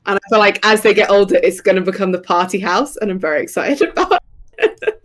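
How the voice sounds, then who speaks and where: A woman's voice: mid-range voice, animated, brisk. A woman playing a tabletop game, chatting in long rambling runs and giggling. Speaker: player. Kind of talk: casual tabletop chat.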